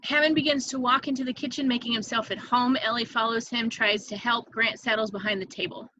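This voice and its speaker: A woman's voice: a little high, fairly even intonation, fairly quick, sharp tone, muffled recording, a little hiss or hum. A woman reading stage directions as scripted narration for a table read.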